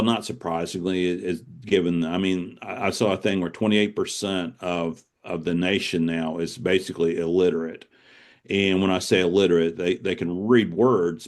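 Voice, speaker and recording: A man with a low-pitched voice talks as a guest author in an interview. He explains himself conversationally, often doubling back on words as he works out a thought. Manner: explanatory, repeats words as he thinks.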